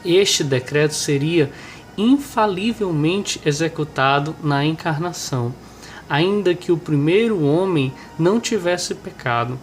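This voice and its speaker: A Brazilian man with a nasal, hoarse voice, stuffed up with a cold, presenting and reading a religious book aloud. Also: on the high side, animated, unhurried, faint music behind. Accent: Brazilian Portuguese. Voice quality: nasal, congested. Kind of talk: informal remarks and devotional reading aloud.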